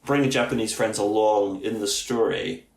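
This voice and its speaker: A man with a low voice talks earnestly and at length, circling back and restarting phrases as he thinks. The sound is roomy, with a noticeable echo around him. He speaks like a teacher explaining ideas to interested listeners. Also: steady tone, moderate pace. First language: English. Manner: earnest, thoughtful, with frequent restarts. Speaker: seminary teacher, guest. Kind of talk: conversational explanation.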